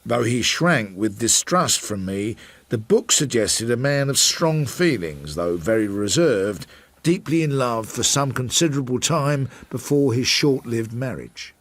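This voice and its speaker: An actor playing a reflective investigator who narrates his case in a radio drama, measured, wry and confiding. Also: medium pitch, animated, dry recording. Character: reflective male investigator narrating his inquiry, working man.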